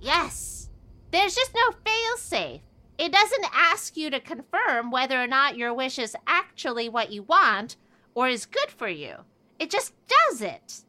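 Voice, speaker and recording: A woman's voice: high voice, big pitch swings, measured pace, bright, forceful tone, heavily acted. A woman playing a scatterbrained old keeper of a magic item, matter-of-fact and rambling, in a comedy-horror radio play.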